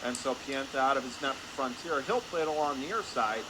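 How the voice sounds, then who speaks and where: A man doing radio play-by-play of a hockey game in clipped, rapid-fire phrases. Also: mid-range voice. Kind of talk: radio sports play-by-play commentary. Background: noisy indoor ice rink.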